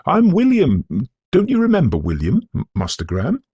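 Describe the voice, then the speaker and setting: A man's voice: on the high side, wildly swooping pitch, normal speed, dark tone, slightly rough, no room sound, muffled recording, pitched above the performer's own voice, full character voice. A man voicing an anxious, deferential male servant who exclaims breathlessly in a storybook reading. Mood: breathless, alarmed.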